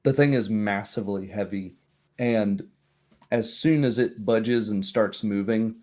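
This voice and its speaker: A man narrating a tabletop roleplaying game as game master, in a measured way. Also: lowish voice, dark tone.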